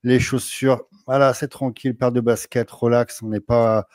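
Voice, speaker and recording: A man's voice: mid-range voice, flat delivery, moderate pace, a little mellow, dry recording, studio quality. A man interviewing a guest, talking emphatically and addressing the viewers directly with motivational advice. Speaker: interviewer, business coach.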